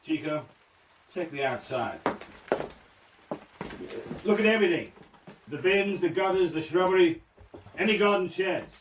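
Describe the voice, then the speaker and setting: An actor playing a wry, insubordinate working-class spy in a radio drama, blunt and sardonic in manner. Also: male voice, high-pitched, lively intonation, measured pace, long pauses. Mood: brisk, commanding. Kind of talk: radio drama acting with first-person narration. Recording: broadcast radio drama with faint noise.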